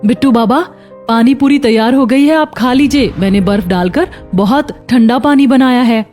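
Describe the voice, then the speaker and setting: A humble, put-upon young housemaid from a cartoon story as a woman narrator plays her. Her voice is high and soft-toned, polite and earnest, with music faintly behind. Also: moderate pace, close to an everyday voice. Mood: polite, coaxing. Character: humble, hardworking young housemaid and daughter-in-law.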